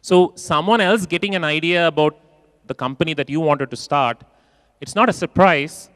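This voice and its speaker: A man giving a keynote talk in a large conference hall, expressive and acting out quoted voices, repeating phrases for emphasis. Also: fairly high pitch, normal speed, clean studio sound. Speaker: keynote speaker.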